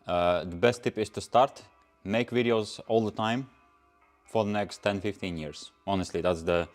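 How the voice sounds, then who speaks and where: Deep-voiced Latvian man chatting on a livestream. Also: moderate pace, faint music behind. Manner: loose and rambling, many fillers and restarts. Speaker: car channel host. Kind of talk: casual livestream Q&A reading chat.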